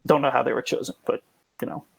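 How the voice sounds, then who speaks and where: A man in casual podcast conversation, explaining things in a rambling, hedging way with a gravelly, husky voice. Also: mid-range voice, steady tone, fast, dry recording, poor audio. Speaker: podcast guest explaining esports.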